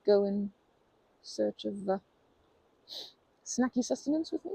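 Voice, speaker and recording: A performer in an ASMR roleplay playing a nervous, polite stranger who flirts shyly in a wordy, hesitant ramble, with a husky, creaky voice. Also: mid-range voice, animated, unhurried, dark tone, dry recording, exaggerated voice, rough recording. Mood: nervous, apologetic.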